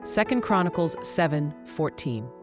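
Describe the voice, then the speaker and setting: Woman, radio announcer, lower pitch, scripted scripture reading, clear music bed. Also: expressive, measured pace.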